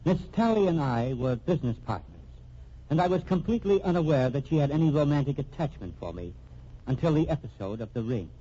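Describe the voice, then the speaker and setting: An actor playing an earnest accused man dictating a formal, deliberate statement in a vintage radio mystery, husky-voiced. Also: on the high side, lively intonation, warm tone, slight background noise, natural voice. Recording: muffled old radio recording.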